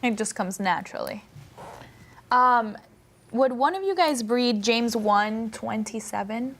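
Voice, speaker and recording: A woman's voice: fairly high pitch, takes it slowly, fairly bright tone. A young woman from Colombia leading a Bible study discussion, asking questions in a chatty way. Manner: questioning, chatty, lightly laughing. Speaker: discussion leader.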